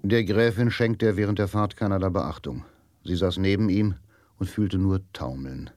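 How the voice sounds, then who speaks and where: A man's voice: low-pitched, normal speed, a little mellow, no echo, clean studio sound, close to an everyday voice. A man narrating a crime story in a radio drama, with sober, scripted literary delivery. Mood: sober, lyrical. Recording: music behind the voice.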